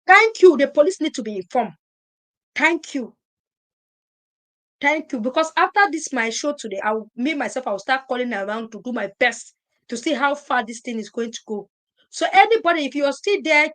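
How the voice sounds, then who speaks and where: Emphatic high-voiced woman. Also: lively intonation, moderate pace, fairly bright tone. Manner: emphatic, repeats herself, rhetorical questions. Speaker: live-stream host. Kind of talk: live-stream commentary. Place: at home in Europe.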